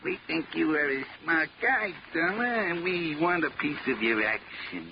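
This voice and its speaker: An actor in a 1940s radio drama playing a dim, cocky small-time hoodlum who talks in bad-grammar street slang. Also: male voice, fairly high pitch, expressive, moderate pace, vocal fry. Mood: self-important. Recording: muffled, noisy 1940s radio recording.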